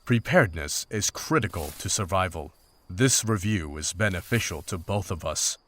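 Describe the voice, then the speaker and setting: A man playing a stern, pragmatic older monster hunter in a fantasy visual novel, speaking in a formal, measured, unsentimental way. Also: sits low, expressive, fairly bright tone. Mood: calm, firm.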